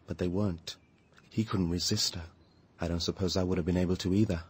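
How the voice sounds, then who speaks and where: An actor playing an earnest, troubled British activist, confiding and insistent, in a radio drama. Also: male voice, lowish voice, slightly rough. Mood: troubled, bitter. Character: earnest, troubled male anti-nuclear activist living in a caravan.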